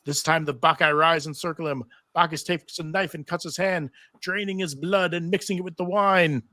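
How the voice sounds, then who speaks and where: A man's voice: fairly high pitch, fairly even intonation, moderate pace, sharp tone, dry recording. A man reading stage directions as narrator in a live table read.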